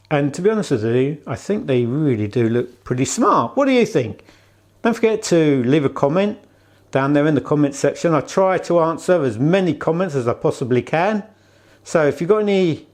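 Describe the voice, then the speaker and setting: A man with a mid-pitched voice presents a product review in a casual, conversational style. He is chatty and rambles a little, circling back to repeat his points. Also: darkish tone.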